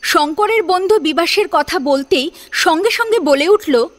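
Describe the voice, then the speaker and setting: A woman narrating a dramatic audio story in an expressive storytelling style. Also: extremely high pitch, normal speed. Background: music.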